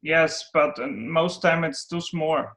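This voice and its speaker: Slow, hesitant man from Austria. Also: on the high side, fairly bright tone. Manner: hesitant, searching for words. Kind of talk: casual video-call chat. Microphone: video call.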